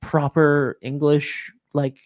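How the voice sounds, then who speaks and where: A man's voice: fairly high pitch, level tone, slow pace. A man hosting a casual morning talk show, rambling with plenty of fillers and restarts. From Rhode Island. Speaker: show host. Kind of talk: casual chat.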